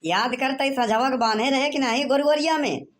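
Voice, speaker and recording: A man's voice: very high voice, moderate pace, a little hiss or hum. A man voicing a blustering village husband in a cartoon, in a rustic Awadhi accent from eastern Uttar Pradesh. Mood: worried, grumbling. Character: blustering village husband searching for his lost goat. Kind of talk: cartoon dialogue in rustic dialect.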